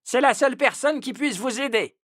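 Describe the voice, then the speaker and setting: A woman's voice: high voice, normal speed, voice pushed up, close to an everyday voice. A woman voicing a grave village doctor giving advice earnestly in a children's storybook. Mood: earnest advising.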